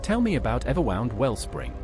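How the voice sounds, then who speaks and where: A man's voice: medium pitch, wildly swooping pitch, a little fast. A man voicing a curious, agreeable adventurer in a fantasy game, speaking briefly and inquisitively. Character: curious, agreeable male adventurer questioning locals. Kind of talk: AI-voiced fantasy game dialogue. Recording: music behind the voice. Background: game music.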